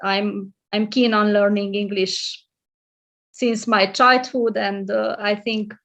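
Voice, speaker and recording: A woman's voice: medium pitch, level tone, takes it slowly, gravelly, a bit bright. A middle-aged woman from Romania, a librarian and English tutor, speaking as a guest in a casual video-call interview, hesitant with many fillers and restarts. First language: Hungarian. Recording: muffled lo-fi audio.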